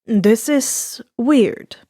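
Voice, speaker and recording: A woman's voice: fairly high pitch, animated, drawn-out words, mellow, dry recording, studio quality, heavily acted. Male stage-magician rogue female reader: courteous, slightly fussy, storybook delivery. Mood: bemused, polite.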